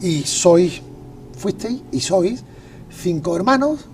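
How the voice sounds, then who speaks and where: A man's voice: high-pitched, animated, takes it slowly. A male TV host interviewing a guest, asking short prompting questions and giving encouraging replies. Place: television studio. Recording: distant mic, music underneath.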